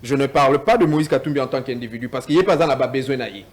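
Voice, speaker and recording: A man's voice: mid-range voice, fast. A man with a Congolese French accent, a guest in a TV political debate, mixing French with Lingala and stumbling over repeated words.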